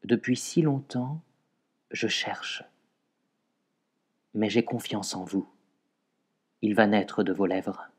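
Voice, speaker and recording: Man, poetry reading, slow pace, lowish pitch, measured phrasing with pauses. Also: husky. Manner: measured, pausing between short phrases. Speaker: poetry reader. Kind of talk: poetry recitation.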